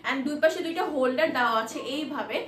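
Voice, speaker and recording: A woman with a Bangladeshi accent presenting a product demonstration in an informal, explanatory, somewhat halting way, mixing in English words. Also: high voice, fairly bright tone. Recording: echoey room, distant mic, faint noise.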